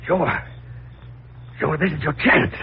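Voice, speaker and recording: An actor playing a resentful, menacing and panicky farmhand in a melodramatic old-time radio thriller, on a muffled vintage recording. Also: male voice, a little high, slow pace, creaky, breathy edge, heavily acted. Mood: hysterical, accusing. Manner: melodramatic, intense.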